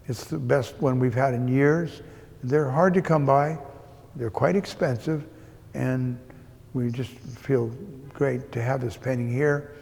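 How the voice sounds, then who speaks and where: A man in his eighties gives an informal gallery tour in a halting, deliberate way with a dry, joking manner. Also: medium pitch, vocal fry, darkish tone. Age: 80s. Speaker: art gallery owner giving an exhibition tour. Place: indoors in an art gallery. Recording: long echo of a hard-walled gallery.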